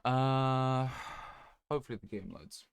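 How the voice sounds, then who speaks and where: A man chatting casually on a gaming livestream in a low-key, rambling, hesitant way. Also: measured pace, gravelly, clean tone, no echo, studio quality. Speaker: video game streamer.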